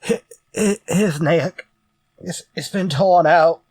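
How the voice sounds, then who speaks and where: A man playing an excitable writer in an old-time radio horror mystery. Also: a little high, slow pace, breathy edge. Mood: horrified.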